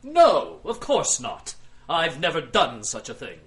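Man playing a smug rich witness, lively intonation. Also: a little high, slow pace, exaggerated voice. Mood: indignant. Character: smug, wealthy businessman on the witness stand. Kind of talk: audio drama courtroom acting.

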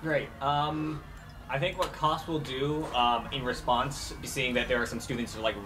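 A man's voice: mid-range voice, fairly even intonation, moderate pace, rough recording. A man talking casually as a player in an improvised tabletop game, animatedly thinking aloud. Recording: music behind the voice.

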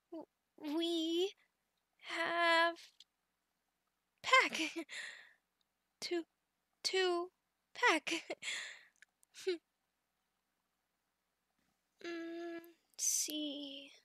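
A woman voicing a young adult female goblin in an ASMR roleplay, childlike, sweet, devoted and timid, speaking in short halting phrases of broken third-person speech. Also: very high-pitched, steady tone, bright, forceful tone, no echo, clean studio sound, heavily acted. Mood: eager, proud.

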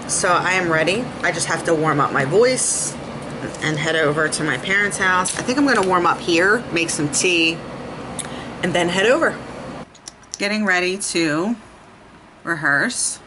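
A woman in her thirties with a low voice talks to her camera mic at close range in a small home room. She thinks aloud in a chatty, easygoing way, and steady background noise sits under her voice. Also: poor audio. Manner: chatty, thinking aloud while sorting gear. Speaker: beauty and music vlogger. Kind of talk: casual vlog chat. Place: small home kitchen, then a home office. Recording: audible background noise, rain and thunder outside.

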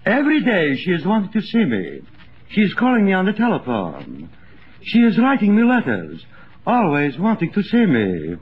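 Swooping, high comic sidekick. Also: male voice, wildly swooping pitch, moderate pace, husky, full character voice. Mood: indignant, boastful. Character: boastful, foreign-born comic sidekick with mangled grammar. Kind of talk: 1950s radio comedy acting. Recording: muffled, hissy old radio recording.

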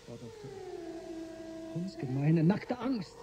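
A man's voice: high-pitched, wildly swooping pitch, slow pace, dull audio, noisy background, full character voice. An actor playing an anxious man aboard a spaceship in a radio drama, with florid, theatrical phrasing. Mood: anxious, urgent. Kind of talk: German radio drama acting.

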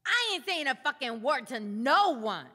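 Woman playing brash high-pitched defiant prisoner, echoing. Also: very animated, moderate pace, sharp tone, breathy edge, exaggerated voice. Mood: defiant, scornful. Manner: brash, slangy, confrontational. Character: defiant, foul-mouthed, streetwise woman prisoner. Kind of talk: comic noir radio play acting.

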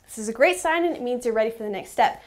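A young woman with a fairly high voice presents an instructional video. She explains each step in a measured way, like a practical tutorial guide. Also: expressive. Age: young adult.

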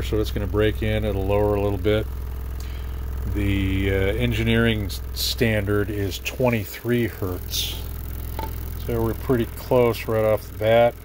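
A man giving a casual, hands-on repair demonstration with halting, unhurried explanations. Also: on the low side, slight background noise. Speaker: speaker repair technician. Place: small repair workshop, indoors.

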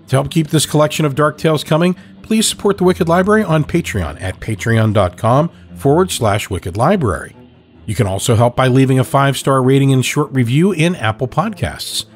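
A man hosting a podcast, reading scripted closing credits in a brisk, projected way. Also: mid-range voice, animated, faint music behind. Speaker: podcast host. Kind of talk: scripted show credits announcement.

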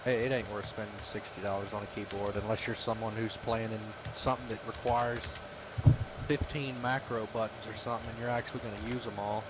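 A man's voice: lowish voice, steady tone, fairly quick. Man chatting over hissy voice chat. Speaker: gamer chatting with teammates. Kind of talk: casual chat. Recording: noisy voice chat, clear hiss.

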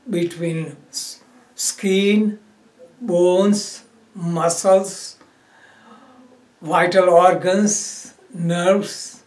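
A man teaches guided meditation at an extremely slow pace. He speaks instructively in short phrases separated by pauses. The recording is echoey, with the microphone some distance away. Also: sits high. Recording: roomy echo, distant microphone.

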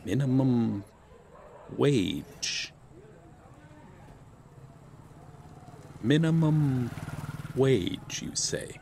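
Man voicing a noble knight, formal old-world speech, theatrical, lively pitch swings, some gravel, audio roleplay. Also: full character voice. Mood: concerned, puzzled. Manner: formal, archaic, theatrical phrasing. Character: gallant, proud, courteous medieval knight, male, out of his time. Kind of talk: ASMR roleplay acting.

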